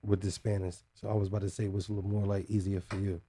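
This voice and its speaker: A man's voice: low-pitched, little pitch movement, fast, dark tone, breathy edge. A man co-hosting a casual podcast chat, blunt and joking and swearing a lot.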